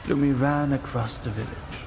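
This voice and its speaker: A man's voice: medium pitch, steady tone, normal speed, noisy background. A man giving solemn, dramatic scripted narration of a fantasy story.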